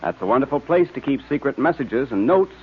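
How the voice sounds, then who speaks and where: A man announcing and pitching for a sponsor on 1940s radio in a hearty, emphatic way. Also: expressive, a little fast, husky. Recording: muffled vintage radio recording.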